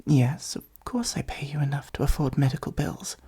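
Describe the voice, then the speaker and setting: A performer voicing a theatrical supervillain in an ASMR roleplay, with a soft breathy delivery, pompous and sardonic. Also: mid-range voice, moderate pace. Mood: exasperated, sardonic. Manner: pompous monologuing, sardonic exasperated asides. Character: theatrical gloating supervillain, secretly fond of the hero.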